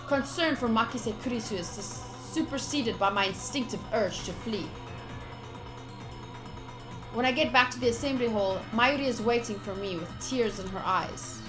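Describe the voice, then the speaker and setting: A woman's voice: a little high, normal speed, poor audio. A woman streamer reading visual novel text aloud, with casual commentary of her own. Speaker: game streamer. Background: game music.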